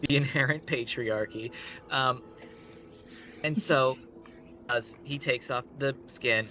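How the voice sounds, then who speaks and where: A man's voice: medium pitch, sharp tone, slight background noise. A man telling a story casually on a live stream, rambling with conversational asides.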